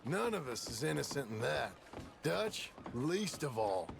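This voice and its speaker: An actor playing a weary, brooding ex-outlaw cowboy in a video game, terse and plain-spoken, with an American accent. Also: male voice, mid-range voice, sing-song, unhurried, gravelly, background noise. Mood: bitter. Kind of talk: dramatic video game dialogue.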